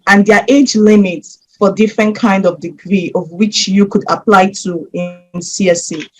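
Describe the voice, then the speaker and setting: A woman's voice: medium pitch, low-quality recording. A woman from Nigeria giving methodical scholarship application advice directly to her viewers. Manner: steady, methodical, advising listeners directly. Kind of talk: instructional explainer.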